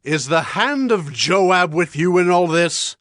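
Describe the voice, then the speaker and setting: A man's voice: sits high, expressive, slow pace, fairly bright tone, no room sound, studio quality, heavily acted. An actor playing a stern, authoritative king in a dramatized Bible recording. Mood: probing, suspicious. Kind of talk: dramatized Bible audio acting.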